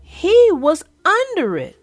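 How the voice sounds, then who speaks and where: A woman children's book narrator speaking expressively and deliberately, as if to young children. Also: very high voice, huge pitch swings, no room sound, soft background music. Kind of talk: storybook read-aloud and casual chat.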